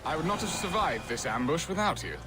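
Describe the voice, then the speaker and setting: Bombastic high-voiced battling lord. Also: male voice, big pitch swings, brisk. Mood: grateful. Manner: bombastic, theatrical. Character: boisterous, bragging male Saxon lord in battle. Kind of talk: video game battle acting. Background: loud music.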